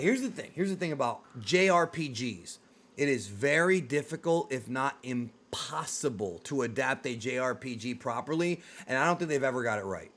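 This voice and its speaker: A man's voice: medium pitch, a bit bright. A man hosting a casual, opinionated discussion, speaking emphatically and repeating himself to drive points home. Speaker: host of a video game and film talk show.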